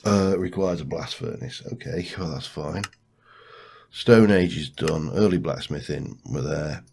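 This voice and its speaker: A low-pitched man hosting a relaxed gameplay commentary, his voice stuffy and bunged up from a cold. He mutters and thinks aloud as he goes, talking half to himself. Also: gravelly, slightly rough. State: congested from a cold. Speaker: let's play host.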